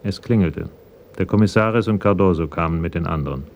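A man's voice: deep voice, brisk, vocal fry, lo-fi sound, slight background noise. A man narrating a scripted radio crime drama in a matter-of-fact manner.

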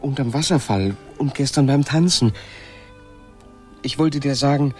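An actor playing a lonely, emotional man on a remote planet in a German radio play, speaking in a halting, earnest way. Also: mid-range voice, darkish tone, faint music behind, close to an everyday voice. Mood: halting longing.

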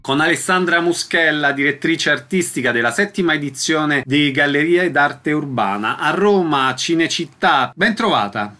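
A man's voice: on the high side, normal speed, few pauses, sharp tone, faint music behind. A man presenting and asking questions as a radio interviewer.

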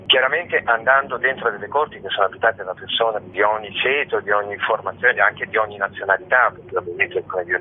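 Guy getting interviewed, rambly explainer, mid-pitched, tinny phone sound. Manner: discursive, hedging with filler phrases. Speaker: guest, theatre festival organiser. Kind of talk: spoken radio interview, explanatory. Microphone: telephone. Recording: noisy narrow-band phone line.

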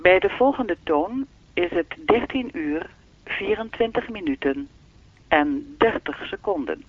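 Slow, tinny telephone speaking-clock woman. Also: fairly low pitch. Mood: formal, automatic. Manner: measured, formal, deadpan. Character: lonely, evasive female speaking-clock voice with a will of its own. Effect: telephone filter. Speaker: telephone time announcer. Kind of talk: radio play acting, formulaic announcement turning to dialogue. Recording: narrow, tinny phone-line sound.

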